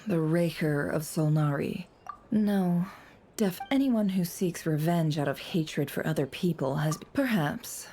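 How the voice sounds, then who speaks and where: An actress playing a shrewd, sardonic young woman with secrets in a fantasy game, speaking in a measured, knowing, dry way. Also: low-pitched, warm tone, a little hiss or hum. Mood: composed, brooding.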